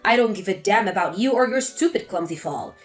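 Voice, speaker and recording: A woman's voice: mid-range voice, lively intonation, brisk, faint music behind, voice pushed down, close to an everyday voice. A woman voicing a spiteful, scolding older mother-in-law in a scripted text-story drama. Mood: scornful. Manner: scolding, contemptuous.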